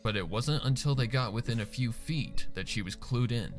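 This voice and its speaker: A man narrates a dark story with a widely varied, expressive delivery. His pitch is average for a man, and music plays softly behind him. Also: normal speed. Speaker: fan fiction reader, narrator. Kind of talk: dramatic scripted story narration. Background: background music.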